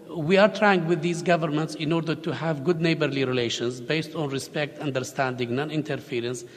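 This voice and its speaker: A man giving measured, diplomatic remarks as a panel speaker in a conference hall. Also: a little high. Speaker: panel speaker for a regional government. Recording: long reverb of a conference hall.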